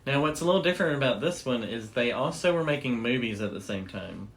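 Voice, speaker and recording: A man's voice: medium pitch, animated, fast talker, creaky, a bit bright. A man co-hosting a show, explaining things in a casual, enthusiastic and informal storytelling way. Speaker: podcast co-host.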